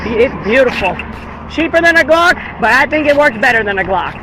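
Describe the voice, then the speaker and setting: American man, very high voice, declamatory street protester, outdoor traffic noise. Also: very animated, a little fast. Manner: declamatory, repeating slogans. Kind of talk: street protest slogans and chat with passersby. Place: outdoors by a busy road.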